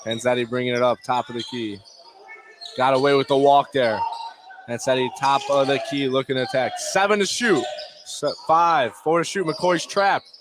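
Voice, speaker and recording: A man does live play-by-play from inside a gym, with slight background noise and bouncing balls. His voice sits at an average pitch. He calls the action in short, clipped bursts, as a radio basketball announcer does. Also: normal speed. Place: indoor high school gym, courtside.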